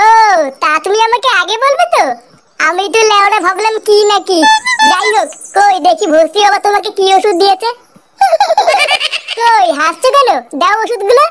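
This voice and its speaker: A man voicing a foul-mouthed, hot-tempered drunken village husband in a comic cartoon, with a pitched-up voice and crude, blustering delivery. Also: moderate pace, over loud music. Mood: irritated. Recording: very noisy cartoon soundtrack.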